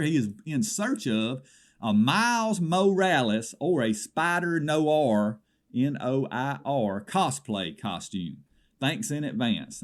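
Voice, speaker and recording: A man's voice: medium pitch, lightly acted. A man playing an indignant small-town caller with a Southern American accent, rambling through a comedy swap shop report with exasperated asides.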